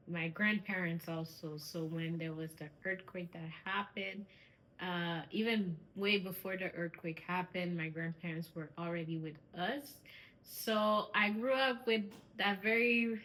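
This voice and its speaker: A young woman raised in Canada, co-hosting a couple's vlog and chatting in an unhurried way, laughing easily. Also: on the low side, pushed and bright, poor audio. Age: young adult. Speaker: vlog co-host. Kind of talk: casual chat.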